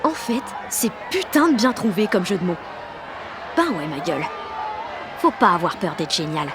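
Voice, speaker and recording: A woman playing a brash, excitable sixteen-year-old girl with grandiose ideas, narrating an audio drama in slangy, dramatic first person. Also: medium pitch, normal speed, heavy background noise. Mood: smug. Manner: slangy, cocky, dramatic teenage delivery. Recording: music and street crowd behind the voice.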